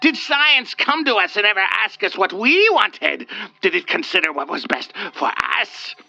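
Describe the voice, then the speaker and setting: A storyteller voicing an enraged ancient tree spirit with a high-pitched, wailing delivery. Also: big pitch swings, moderate pace, vocal fry, bright, forceful tone, muffled recording, full character voice. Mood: furious.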